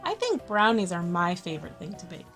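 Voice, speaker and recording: Expressive woman reading a picture book. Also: on the low side, soft background music. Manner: expressive, slows and stresses key words. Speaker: children's story-time host and reader. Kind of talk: picture book read-aloud with friendly asides.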